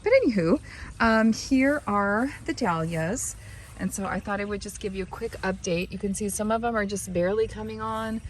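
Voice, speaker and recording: A hoarse woman who has partly lost her voice, chatting loosely in a garden vlog with lots of fillers. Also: mid-range voice, normal speed, a little hiss or hum. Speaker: gardening vlogger. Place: backyard garden, outdoors.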